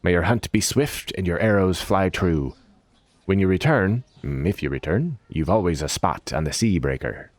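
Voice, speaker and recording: A man voicing a weary, warm old sea captain with a hearty, plain-spoken manner. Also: sits low, lively intonation, normal speed, dry recording. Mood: grateful warm.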